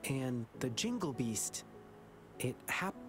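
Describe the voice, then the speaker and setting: An earnest young lion dancer from an anime game, boyish and wistful. He tells his tale slowly and carefully, with prominent music underneath. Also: mid-range voice, sing-song. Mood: solemn. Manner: earnest, measured, wistful storytelling. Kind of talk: video game story dialogue.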